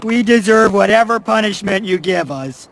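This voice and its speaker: A man's voice: sits high, animated, moderate pace, vocal fry, exaggerated voice. A man voicing a dim, starstruck young male pony in a cartoon, with a goofy, eager manner. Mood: sheepish. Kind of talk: animated cartoon acting. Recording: loud music behind the voice.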